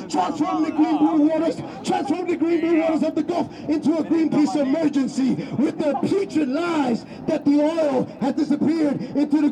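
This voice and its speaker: A man from Ecuador performing spoken-word poetry, declaiming and often shouting with big swings in loudness and pace. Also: very high voice, low-quality recording.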